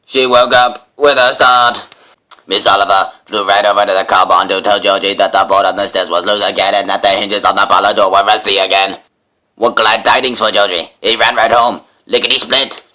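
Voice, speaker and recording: Deep-voiced man reading a storybook dramatically. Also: little pitch movement, a little fast, pushed and bright. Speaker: storybook reader, host.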